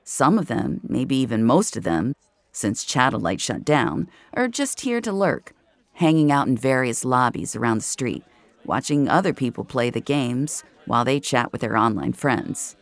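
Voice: medium pitch, sing-song, moderate pace, gravelly, no echo. An actor playing an introspective young regular of a virtual-reality world, narrating an audio drama in an intimate, confiding way. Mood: reflective. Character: introspective young VR social-space regular named Jamie. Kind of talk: first-person scripted audio drama narration.

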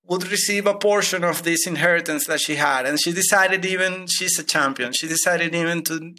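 A man's voice: on the high side, normal speed, sharp tone, slight background noise. A man in his thirties from Venezuela, a guest telling his money story with many hesitant restarts. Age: 30s. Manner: hesitant, many repeated starts. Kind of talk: personal finance interview.

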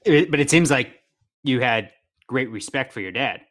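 A man co-hosting an interview, asking questions in a measured, deliberate way. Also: medium pitch, lively intonation, a bit bright. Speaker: podcast interviewer, co-host.

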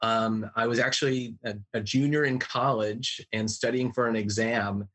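A man in his forties from Ohio, thoughtfully telling his life story as a podcast guest, with measured pauses and fillers. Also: mid-range voice, steady tone, fairly bright tone. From Ohio, USA. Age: 40s. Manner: reflective, measured, many fillers. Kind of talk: personal storytelling.

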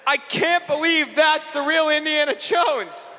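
A man's voice: very high voice, measured pace, bright, forceful tone, full character voice. A man voicing a peppy, wide-eyed young cartoon girl adventurer with exaggerated cheer in live improv comedy. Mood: amazed. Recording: echoey live stage, far from clean.

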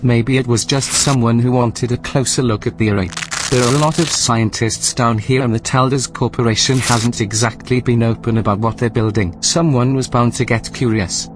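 A man playing a calm, precise artificial intelligence that runs a research station in an audio drama, speaking in a measured, formal, deadpan way. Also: mid-range voice, background noise, lightly acted. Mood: calm, dismissive.

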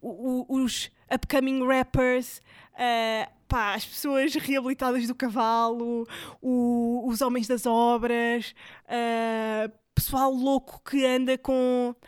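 A woman's voice: fairly high pitch, little pitch movement, slow pace, smooth, no room sound, studio quality. A woman with a European Portuguese accent, hosting a podcast and chatting casually, rambling with lots of fillers and English words. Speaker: podcast host. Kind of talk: casual chat recommending videos.

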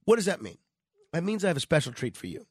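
A man's voice: fairly high pitch, very animated, quick pace, darkish tone, husky, no room sound, studio quality. A man hosting conversational talk radio, his voice nasal and stuffed up with a cold. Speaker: radio host.

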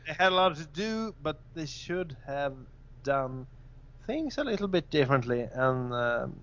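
A man whose first language is Swedish, co-hosting a casual podcast chat, pausing to search for words as he talks in English. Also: on the high side, big pitch swings, fairly bright tone, dull audio. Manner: searches for words, hesitant phrasing. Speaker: podcast co-host.

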